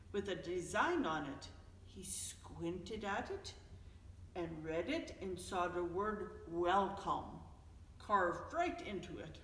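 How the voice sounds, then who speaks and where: A woman reading a children's picture book aloud as a storyteller, in an expressive, deliberate manner. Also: medium pitch, slight background noise. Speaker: storyteller, host of a story time. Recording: echoey, reverberant room, distant mic.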